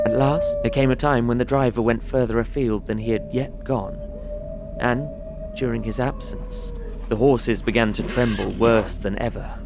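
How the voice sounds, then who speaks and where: A man playing an anxious traveller in an audio drama, recounting a frightening journey in measured, literary, suspenseful narration. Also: medium pitch, creaky. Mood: fearful wonder. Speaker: narrating lead character.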